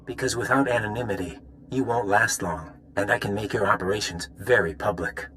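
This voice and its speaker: A man's voice: on the low side, brisk, fairly bright tone, slight background noise, close to an everyday voice. A man playing a smug rogue saboteur in a video game, taunting in a casual, mocking manner. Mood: threatening. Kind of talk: scripted video game dialogue.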